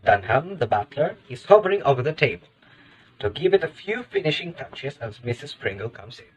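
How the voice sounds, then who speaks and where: A man's voice: medium pitch, big pitch swings, brisk, rough recording. A man playing a boss who reads a play script aloud as scripted narration. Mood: descriptive, measured. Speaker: narrator reading stage directions. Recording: faint background noise and ambience.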